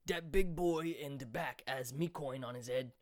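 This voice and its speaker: A man voicing a rough male spectator with coarse dialect speech, betting on a fight. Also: a little high, moderate pace, a bit bright, dry recording, studio quality, natural voice. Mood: gruff, gossipy.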